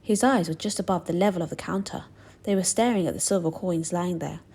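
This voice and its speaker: A woman narrating a children's book aloud in an expressive, deliberate storytelling style. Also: on the low side, husky.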